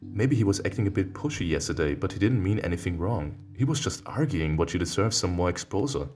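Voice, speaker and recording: A man voicing the gentle, teasing protagonist of a furry visual novel, reasoning in a soft-hearted way. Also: sits low, expressive, a little fast, a little hiss or hum. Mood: gently reproving.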